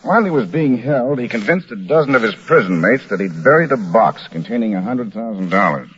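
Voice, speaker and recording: A man's voice: on the low side, lively intonation, a little fast, fairly bright tone, slight background noise. A man playing a businesslike lawman who reports in a matter-of-fact way, in a vintage radio crime drama. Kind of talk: 1940s-50s radio crime drama acting. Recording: old dull lo-fi radio recording.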